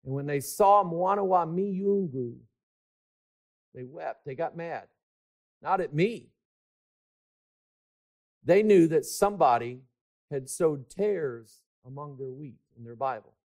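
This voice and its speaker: High-voiced, emphatic male preacher. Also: slow, pauses often. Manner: emphatic, swings between shouting and hushed asides; stretches key words for emphasis. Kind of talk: sermon.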